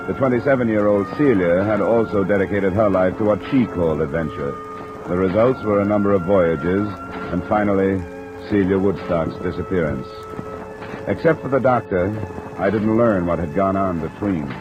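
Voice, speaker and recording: A male actor plays a tough, wry American private investigator from old-time radio. His voice is very low and his delivery dry and clipped. The vintage recording is dull, with music and rain behind it. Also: normal speed, close to an everyday voice. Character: hard-boiled, wry male freelance insurance investigator. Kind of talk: old-time radio detective acting and narration. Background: music and steady rain.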